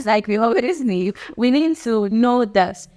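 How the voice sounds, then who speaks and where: A woman's voice: on the high side, very animated, takes it slowly, darkish tone. A young Ghanaian woman with a Ghanaian accent, hosting her own channel and talking earnestly and unscripted straight to camera, often repeating herself and laughing between points. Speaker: channel host.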